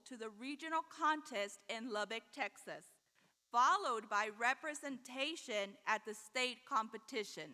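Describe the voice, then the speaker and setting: A woman giving a formal commencement address as a school principal, in measured, deliberate short phrases. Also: a little high, bright, forceful tone. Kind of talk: formal prepared ceremonial speech. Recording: echoing hall PA with long reverb.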